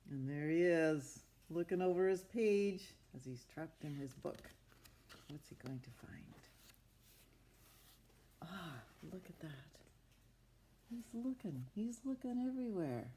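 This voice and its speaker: A woman with a low, soft-edged, mellow voice narrates a picture book as she goes, making up the words. She speaks expressively and with wonder, as though showing the pages to young children. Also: expressive, a little slow, pauses often. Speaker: storybook narrator. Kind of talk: improvised storytelling from a wordless picture book.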